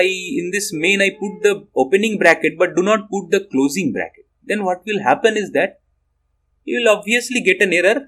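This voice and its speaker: A middle-aged Indian English lecturer whose voice sits a little high. His pitch rises and falls a lot, and he trips over and restarts phrases as he explains. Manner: rambling with restarts, lively rising and falling pitch. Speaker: university lecturer. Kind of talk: recorded programming lecture.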